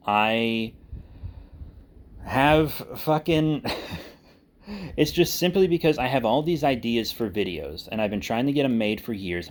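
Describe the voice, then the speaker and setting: A man giving a casual, rambling update to viewers as an online creator, informal and often correcting himself as he thinks aloud. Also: mid-range voice. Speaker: online video creator and writer.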